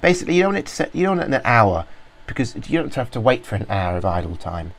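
A man casually talking through a software demo, rambling with many fillers and restarts. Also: mid-range voice, big pitch swings, rapid-fire, gravelly, a little hiss or hum. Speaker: software reviewer. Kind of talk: informal screen-recorded walkthrough.

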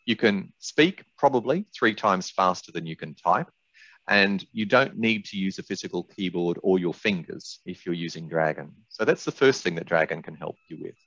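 An older man presenting a webinar in a measured, explanatory way, as an expert walking through software. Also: low-pitched. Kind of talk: webinar presentation with product demonstration. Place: indoors, small room on a video call. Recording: dull, roomy webinar audio.

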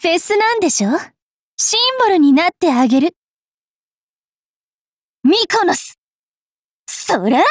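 High-pitched, bratty, cruel fairy girl. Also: very animated, unhurried, long pauses, dry recording, clean studio sound, exaggerated voice. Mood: gleeful battle taunts. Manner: sneering, taunting, rough-mouthed, drawling. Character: haughty, cruel young fairy girl priestess, bratty and teasing. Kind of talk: anime game voice lines.

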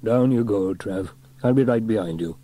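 A man's voice: fairly low pitch, moderate pace, warm tone, natural voice. A man voicing a brave, cheerful firefighter hero in a children's storybook, upbeat and reassuring.

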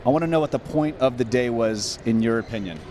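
A man's voice: medium pitch, fast talker, a little mellow. A young man hosts a live sports pregame show, speaking into a handheld mic. He is upbeat and talks up his guests. Faint noise sits in the background. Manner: upbeat, hyped introductions. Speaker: host. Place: studio set at a convention center.

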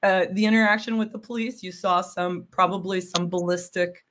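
A middle-aged woman, a bit hoarse from a cold, explaining textile materials on a video call, often checking with "right?". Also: lowish voice, fairly even intonation, normal speed, fairly bright tone, slight background noise. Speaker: guest expert on textiles. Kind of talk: casual livestream chat. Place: home room with window blinds.

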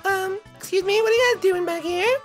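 A performer voicing a prim, easily offended young woman with a high, indignant, squealing manner. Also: a little fast, music in the background. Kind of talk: comedic puppet-style skit acting.

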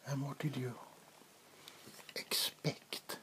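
Man playing a slow, whispering vampire king. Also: mid-range voice, little pitch movement. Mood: mocking. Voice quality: soft-spoken, whispery. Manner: slow, mock-polite, taunting. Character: menacing, smug male vampire king of a wasteland. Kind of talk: ASMR roleplay. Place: small indoor room. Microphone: close mic.

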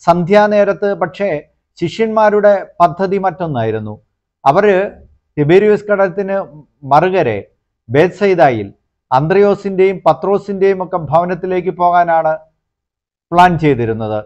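Male priest, middle-aged, high voice, measured homily delivery, dull lapel-mic recording. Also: expressive, darkish tone. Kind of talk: homily, explaining scripture. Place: small indoor room, plain backdrop. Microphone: clip-on lapel mic.

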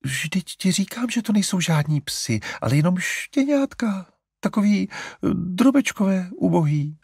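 Male reader doing a high-pitched flustered mum, coaxing, voice jumping around. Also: sing-song, slightly rough, dry recording, clean studio sound, heavily acted. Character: fussy, fretful middle-aged mother.

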